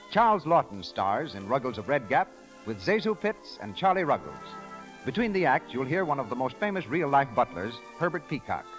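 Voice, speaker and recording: An American man announcing on a 1930s radio show, polished and emphatic. His pitch moves around a lot as he reads sponsor copy, with faint music on the vintage recording. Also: a bit bright, low-quality recording.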